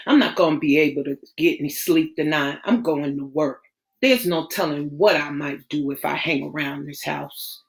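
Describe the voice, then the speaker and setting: A woman voicing a bitter, betrayed young man who speaks in a low, brooding, clipped way. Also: normal speed, vocal fry. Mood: weary.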